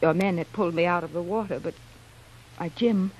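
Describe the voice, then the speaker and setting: A woman's voice: lowish voice, a little fast, darkish tone, breathy edge, lo-fi sound. An actress playing a sweet, youthful castaway widow with a ladylike, wistful manner in a classic radio drama. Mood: wistful, poised.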